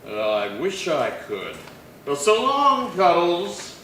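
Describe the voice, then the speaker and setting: Man doing a jovial 50s sitcom boss, hammy and drawn out, highish voice, echoey and far off. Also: huge pitch swings, pushed and bright, full character voice. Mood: breezy. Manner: swooping, hammy, drawn-out delivery. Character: jovial, teasing boss in screwball radio comedy. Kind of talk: 1950s radio sitcom acting. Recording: echoey hall, distant mic, faint noise.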